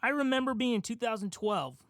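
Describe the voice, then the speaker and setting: A man's voice: very high-pitched, lively intonation, fairly quick, a bit bright, dry recording. A man co-hosting a casual podcast, talking in a rambling, enthusiastic fan's way. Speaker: podcast co-host. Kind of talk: casual podcast discussion of comic book films.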